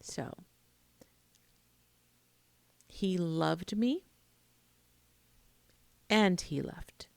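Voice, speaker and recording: An American woman in her late forties shares a personal story to camera. Her voice sits on the low side with noticeable fry, and she speaks slowly and expressively, pausing for emphasis. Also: lively intonation, a little mellow, dry recording. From Queens, New York, United States. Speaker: vlogger, emotional intimacy coach.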